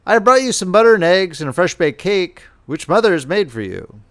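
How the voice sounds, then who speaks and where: A man's voice: high voice, sing-song, fairly quick, voice pushed up. A man voicing a wicked, sly wolf in a fairy tale reading, coaxing and falsely polite. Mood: sly, disguised. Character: wicked sly wolf, feigning friendliness and a grandmother's voice.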